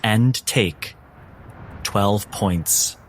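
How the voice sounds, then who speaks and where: A man narrating a tabletop roleplaying game as game master, with deliberate, dramatic phrasing. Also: on the low side, heavy background noise.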